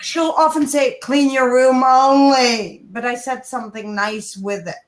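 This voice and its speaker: A woman with a high voice talks in an animated, coaching way, stressing her points and turning to address listeners directly. Her voice rings in a roomy, echoing space. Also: a little slow. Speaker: guest, kindness speaker. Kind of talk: animated advice-giving interview talk.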